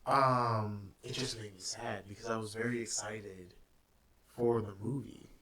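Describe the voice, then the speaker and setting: A man co-hosting a casual gaming podcast, playful and teasing with quick reactions. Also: lowish voice, fairly even intonation, a crowd in the background. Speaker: podcast co-host. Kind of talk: casual chat.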